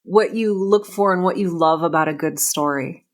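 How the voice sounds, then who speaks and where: A woman's voice: lowish voice, normal speed. Enthusiastic woman hosting a conversational interview. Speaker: podcast host interviewing a writer.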